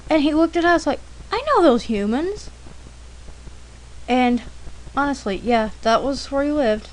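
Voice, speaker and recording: A woman's voice: high-pitched, expressive, unhurried, poor audio. A woman giving casual, rambling, anecdotal commentary as an artist, in a chatty, informal manner. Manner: chatty, informal, frequent throat clearing. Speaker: artist narrating a drawing demonstration.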